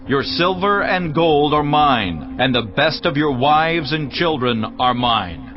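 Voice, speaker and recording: A man's voice: fairly high pitch, lively intonation. An actor playing an imperious royal herald in a dramatised audio Bible, declaiming a warlord king's demands. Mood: imperious, threatening. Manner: declaiming, drawn-out proclamation. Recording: dull, lo-fi, radio-like audio. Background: background music.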